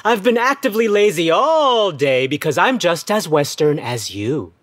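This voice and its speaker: Nerdy cocky guy, emphatic, indignant, highish pitch, lively swings, comedic audio play. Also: normal speed, no room sound. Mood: defiant. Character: nerdy adult son, frustrated, newly cocky and defiant.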